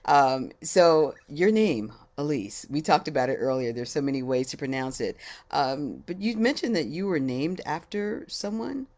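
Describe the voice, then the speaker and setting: Very deep-voiced playful woman host. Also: expressive, normal speed, a little mellow. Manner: playful, laughs often, teasing. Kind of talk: casual video chat interview.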